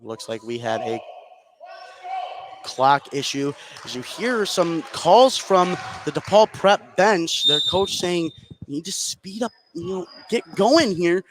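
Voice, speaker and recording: A man's voice: sits high, animated, slow pace. A man doing live radio play-by-play of a basketball game, following the action continuously and lifting his voice on big plays. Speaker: play-by-play sports commentator. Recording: noisy live gym broadcast.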